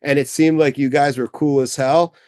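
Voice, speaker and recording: A man in his forties from New York City, a podcast guest, telling stories in an animated, profane way. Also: on the high side, normal speed, smooth. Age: 40s. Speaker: guest, mix engineer and music professor. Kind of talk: casual podcast chat.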